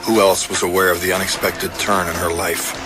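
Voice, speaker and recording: An actor playing a suspicious, brooding police detective, terse and deliberate, in an audio crime drama. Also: male voice, low-pitched, little pitch movement, close to an everyday voice. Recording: music behind the voice.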